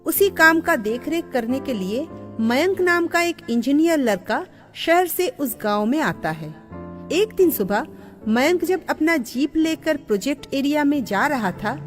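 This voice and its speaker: Woman narrator, scripted storytelling, expressive, high voice, clear background music.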